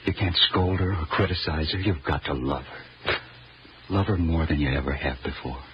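An actor playing a patient, loving middle-aged father and husband, earnest and halting, in old-time radio drama style. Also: extremely low pitch. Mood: sober, reasoning. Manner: earnest, gently reasoning, halting. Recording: dull old radio recording, faint noise.

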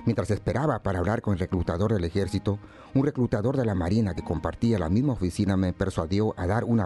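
An actor plays a reflective male storyteller with a fairly low voice. He recounts his hot-tempered past in measured radio drama narration, over faint music. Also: dark tone, close to an everyday voice.

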